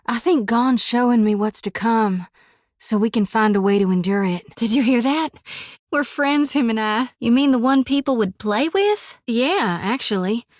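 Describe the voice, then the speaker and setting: This is a plucky, warm-hearted young priestess, as played by a woman, speaking in a plainspoken rural drawl. Her voice sits a little higher than most women's. The sound is close and dry, with no room around it. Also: animated, normal speed, no echo, close to an everyday voice. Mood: earnest. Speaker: companion character. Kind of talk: video game voice acting. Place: recording studio.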